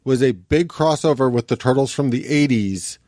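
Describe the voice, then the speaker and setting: A man's voice: medium pitch, normal speed, darkish tone, no room sound. Man, podcast co-host, patient explainer, opinionated, dry close sound. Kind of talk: casual chat.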